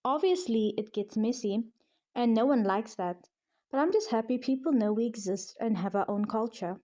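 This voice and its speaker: A woman from South Africa narrating a scripted video essay in a measured, explanatory way. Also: a little high, dark tone.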